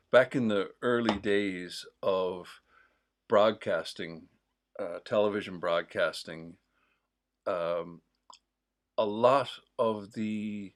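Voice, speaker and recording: A man with a mild Newfoundland accent, hosting a livestream in a casual, rambling, digressive chat full of pauses. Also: deep voice. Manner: digressive, with many pauses and fillers. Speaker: host of a livestream.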